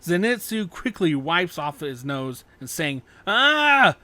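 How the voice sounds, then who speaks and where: A male narrator reads an action story aloud in a dramatic style. His voice sits a little high and has a bright, forceful tone. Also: animated, measured pace, no room sound.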